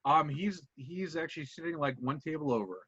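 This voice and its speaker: A man's voice: a little high, brisk, a bit bright, husky, no room sound, highs cut off. A man running a tabletop roleplaying game as game master, narrating animatedly and laughing often.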